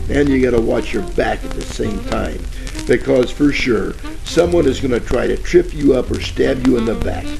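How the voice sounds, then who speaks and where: A man playing a wisecracking, hapless private eye in a parody of old radio detective drama, with deadpan delivery. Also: medium pitch, vocal fry. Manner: deadpan, wisecracking first-person narration. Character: wisecracking hardboiled male gumshoe, hapless and flirty. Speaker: narrator and lead actor. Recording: crackly old-time radio with music.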